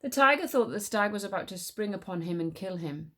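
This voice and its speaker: A woman's voice: medium pitch, lively intonation, brisk, bright, forceful tone. Woman reading a folk tale aloud, expressively. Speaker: teacher reading stories aloud. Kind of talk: expressive storybook read-aloud.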